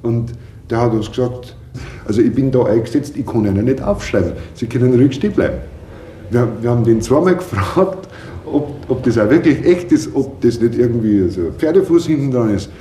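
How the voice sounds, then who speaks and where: A man's voice: mid-range voice, expressive, fairly quick, warm tone. A man casually telling an anecdote in spontaneous speech, quoting what others said. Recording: roomy echoey room with faint noise.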